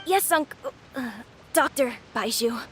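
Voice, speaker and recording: An earnest young boy in a video game, polite and childlike. The voice sits high and youthful, over faint game music. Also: slow pace, a bit bright, a little hiss or hum. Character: earnest young boy searching for his dad.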